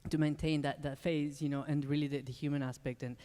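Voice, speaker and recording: A man from Ecuador speaking on a conference panel, thoughtful and reflective, pausing, restarting and laughing lightly. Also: on the high side, flat delivery, warm tone. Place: conference hall. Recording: long reverb of a large hall.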